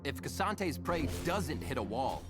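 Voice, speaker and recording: A man's voice: mid-range voice, sing-song, measured pace. A man reading a polished, scripted explainer as narrator of a video game character spotlight. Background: loud music.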